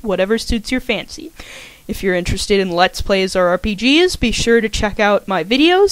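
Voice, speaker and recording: A woman's voice: fairly high pitch, dry recording, low-quality recording. A woman giving an informal scripted introduction to an audio story, chatty and full of self-aware little asides. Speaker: fan fiction author and podfic narrator.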